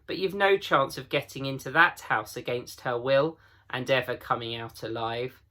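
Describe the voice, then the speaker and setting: A man's voice: medium pitch, moderate pace, sharp tone, lightly acted. A man voicing a kindly, motherly old female beaver in a children's storybook, homely and resigned.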